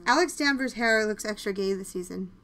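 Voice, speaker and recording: Bright-voiced woman hosting a casual podcast. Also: mid-range voice, a little fast. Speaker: podcast host. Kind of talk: casual TV review discussion.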